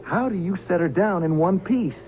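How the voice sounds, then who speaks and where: An actor playing a wary, hard-headed pilot in an old radio drama, terse and sardonic. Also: male voice, sits high, moderate pace, natural voice. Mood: pressing, skeptical. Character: wary, hard-headed airline captain held by hijackers. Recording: tinny band-limited old radio recording, clear noise.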